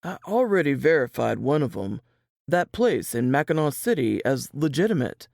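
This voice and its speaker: Man acting a gravelly, folksy young-country piano player. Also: medium pitch, big pitch swings, normal speed, darkish tone, dry recording, studio quality. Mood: practical, reassuring. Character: protective, plain-spoken Southern cousin, a traveling piano player. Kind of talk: dramatized audiobook reading.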